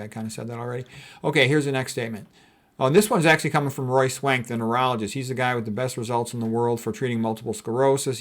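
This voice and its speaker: Man giving a blunt, informal medical lecture. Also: medium pitch. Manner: blunt, conversational, explanatory. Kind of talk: informal lecture, reading out and commenting on quotes.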